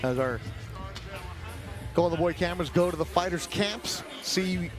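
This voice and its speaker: A male boxing commentator calls the action with a brisk, promotional delivery, his voice sitting a little high. Music plays clearly under the broadcast mix. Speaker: play-by-play commentator.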